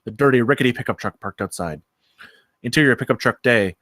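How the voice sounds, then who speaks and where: A man's voice: mid-range voice, fairly quick, a bit bright, dry recording, studio quality. A man narrating scene headings and action from a film script at a table read. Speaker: narrator of stage directions.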